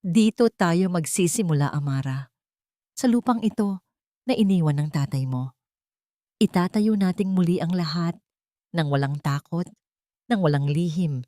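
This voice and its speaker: A woman narrator voicing a devoted, remorseful young man in love in an audio story, hushed and earnest. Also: on the low side, moderate pace, long pauses, no room sound, close to an everyday voice. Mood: hopeful, devoted.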